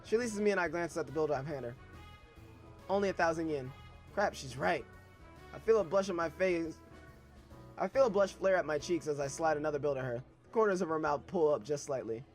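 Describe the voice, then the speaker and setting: A man's voice: on the high side, brisk, faint music behind. A young man reading a novel aloud in first person, breaking off for irreverent, joking asides. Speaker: reader of a novel.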